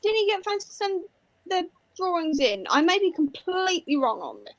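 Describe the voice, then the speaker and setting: A woman's voice: very high voice, very animated, normal speed. A woman chatting casually as part of a book discussion panel. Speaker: readalong panelist.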